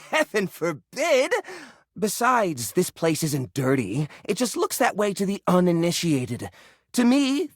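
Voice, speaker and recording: A man voicing an eccentric, cheerful hoarder in a video game, theatrical and excitable, rambling like a proud tour guide. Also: high voice, dry recording, studio quality, full character voice. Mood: breezy, defensive cheer. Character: eccentric, cheerful male hoarder personifying a junk drawer. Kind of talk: animated video game voice acting.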